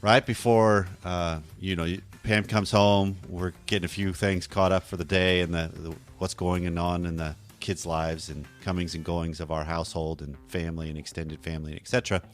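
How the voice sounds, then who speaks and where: A man hosting a podcast in a relaxed, chatty way, with a slightly hoarse deep voice and a habit of correcting himself as he goes. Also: moderate pace, hardly any pauses, soft background music. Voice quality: deep radio voice. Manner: conversational, rambling, self-correcting. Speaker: podcast host. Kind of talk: casual podcast talk.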